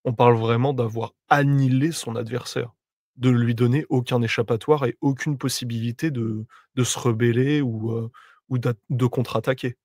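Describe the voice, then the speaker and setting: A man joins a discussion and speaks in a level, monotone way, pausing with fillers as he searches for words. The sound is dry and close, with no room echo. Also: mid-range voice. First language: not Arabic. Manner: flat, measured, hesitant with fillers. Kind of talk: casual discussion.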